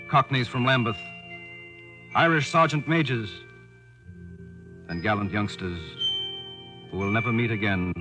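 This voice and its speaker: A man's voice: on the low side, pushed and bright. An actor playing a grave, resolute commando, telling his war story in dramatic 1940s radio style. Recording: old 1940s radio recording.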